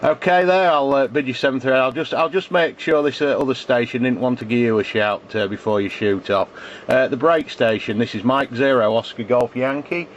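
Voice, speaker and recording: A man's voice: medium pitch, brisk. A man chatting casually as an amateur radio operator, giving callsigns in the phonetic alphabet. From England. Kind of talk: casual ham radio contact chat. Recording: muffled shortwave radio contact, faint static.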